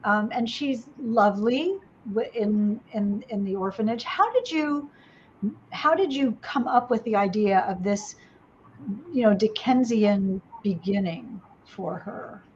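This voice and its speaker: A woman's voice: mid-range voice, a little slow, darkish tone, highs cut off, slight background noise. A woman hosting an interview, asking long, winding questions that summarize the story before getting to the point. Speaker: host interviewer. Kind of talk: casual interview.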